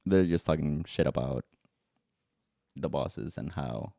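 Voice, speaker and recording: Young man, Spanish accent, very deep dark voice, hesitant casual chat, dry close sound. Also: slow pace, vocal fry, dry recording. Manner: relaxed, hesitant with restarts, mixes in Spanish. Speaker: podcast co-host.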